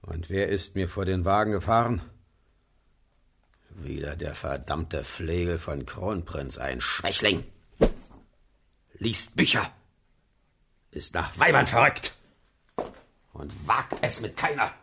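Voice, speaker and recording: An actor playing a choleric, tyrannical old Prussian king in a radio play, with imperious, barking commands and a husky, creaky voice. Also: low-pitched, lively intonation, slow pace, pauses often, pushed and bright, slight background noise, full character voice. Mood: bitter grumbling.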